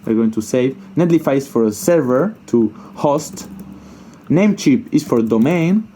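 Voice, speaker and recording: Male tutorial presenter, soft mellow tone, informal and hesitant, thinks out loud as he demonstrates. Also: medium pitch, animated, measured pace, slight background noise. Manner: informal, hesitant thinking aloud, repeats himself, mild swearing. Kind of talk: casual unscripted screen-share coding tutorial.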